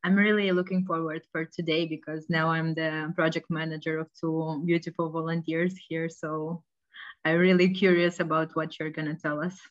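A woman's voice: low voice, level tone, normal speed, highs cut off. A woman from Italy who works as a project manager, introducing herself cheerfully on a video call. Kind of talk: online meeting introduction.

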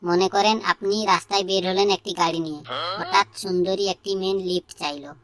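Woman voicing a fast, cheeky cartoon schoolboy. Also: medium pitch, fairly even intonation, crowd noise, lightly acted. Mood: eager, cheeky. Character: cheeky, dim-witted schoolboy with silly literal answers. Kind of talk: comedy cartoon dialogue.

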